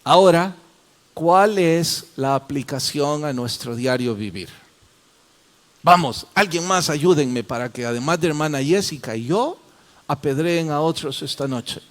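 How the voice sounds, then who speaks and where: A man's voice: on the high side, animated. A man leading a Bible study as pastor, questioning the class and calling on students by name. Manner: slow, deliberate explanations.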